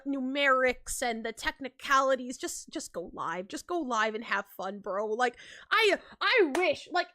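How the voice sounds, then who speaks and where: A woman's voice: high voice, pushed and bright. A young woman streaming live, chatting casually and rambling with lots of filler words and frequent laughs.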